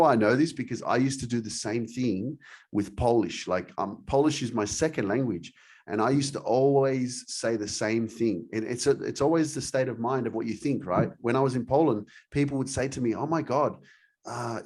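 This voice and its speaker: A man's voice: mid-range voice, a little mellow. A man hosting a motivational podcast, enthusiastic and encouraging, talking with many fillers. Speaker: podcast host. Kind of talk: energetic podcast interview.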